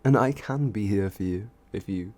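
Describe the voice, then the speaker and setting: A man playing an obsessed, adoring stalker in a boyfriend ASMR roleplay, with a breathy, husky voice and a halting, pleading, worshipful manner. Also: on the low side, mellow, natural voice. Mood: tender awe. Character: obsessed, adoring young stalker smitten with a killer.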